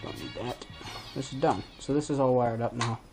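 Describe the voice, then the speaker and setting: A man's voice: medium pitch, huge pitch swings, moderate pace, over music. A man hosting a DIY video, talking through a hands-on tutorial in a casual, informal way as he thinks aloud.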